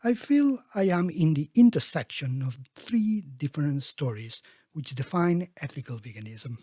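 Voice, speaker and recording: Middle-aged man, slightly high pitch, emphatic author reading, lively melody, close dry sound. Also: normal speed, husky, no echo. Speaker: author reading own book. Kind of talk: expressive book reading with casual introduction.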